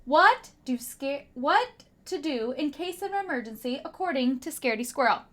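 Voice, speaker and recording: A woman teacher reading a children's picture book aloud with dramatic emphasis and hushed asides to young listeners. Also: sits high, sing-song, normal speed.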